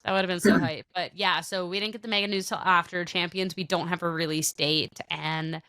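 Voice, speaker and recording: A woman's voice: fairly low pitch, fairly even intonation, fairly quick, sharp tone, dry recording. A woman co-hosting a podcast in casual chat, talking in loose, trailing sentences that often restart. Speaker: podcast co-host.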